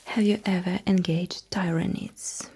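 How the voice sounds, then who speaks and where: A woman performing a sci-fi ASMR roleplay in a breathy whisper, as a courteous, dryly witty alien mecha technician with a measured manner. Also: lowish voice, steady tone, darkish tone.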